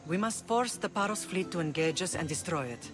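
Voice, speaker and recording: A woman's voice: on the low side, animated, fairly quick, close to an everyday voice. An actress playing a middle-aged mother who rules an island, composed and commanding, in a video game cutscene. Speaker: island leader. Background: music.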